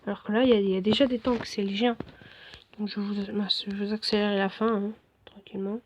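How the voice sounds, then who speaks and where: A hoarse woman giving relaxed, rambling commentary over her own game replays, full of fillers and restarts. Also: mid-range voice, a little fast, dull audio, slight background noise. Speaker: gaming video host. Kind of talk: casual gameplay commentary.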